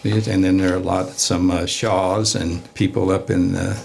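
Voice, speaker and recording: A man giving explanations as an interview guest, in a measured, halting way. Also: deep voice, flat delivery, gravelly, darkish tone, a little hiss or hum. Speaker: amateur radio club member, interview guest. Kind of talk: casual interview talk.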